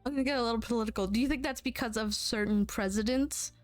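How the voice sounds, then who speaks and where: A woman's voice: a little high, fast, faint music behind. A young adult woman hosting a casual interview, playful and teasing, laughing often. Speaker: interview host.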